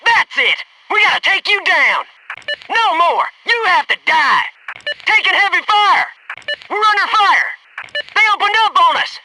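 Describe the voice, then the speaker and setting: Video game soldier: young, cocky, loyal, very animated delivery of clipped military callouts, noticeably noisy recording. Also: male voice, very high-pitched, measured pace, full character voice. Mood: urgent shouting. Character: young male military sniper-spotter, cocky, keen, loyal squadmate. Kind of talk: video game combat voice lines, short radio callouts.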